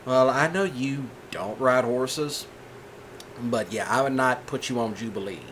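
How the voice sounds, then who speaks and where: A soft-spoken rancher, voiced by a man, gentle and patient with his partner. His drawl is folksy and unhurried, at an ordinary male pitch. A faint hiss sits under the close, quiet ASMR voice. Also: lightly acted. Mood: reassuring. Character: calm reassuring male rancher boyfriend, gentle. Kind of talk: ASMR roleplay.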